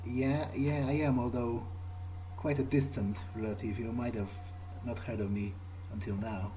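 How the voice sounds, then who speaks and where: A man improvising an in-character video game playthrough, speaking haltingly as he thinks aloud. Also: medium pitch, steady tone, mellow, slight background noise, poor audio.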